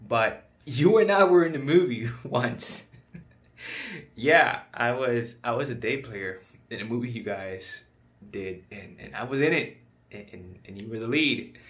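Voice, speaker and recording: A man performing a dramatic monologue as an unhinged failed actor, stammering and repeating himself, veering from whispers to shouts. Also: medium pitch. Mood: nervous, giggly.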